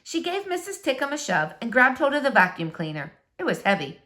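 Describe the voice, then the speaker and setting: A woman narrating a children's storybook aloud with expressive, dramatic, deliberate phrasing. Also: high-pitched. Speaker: storybook reader.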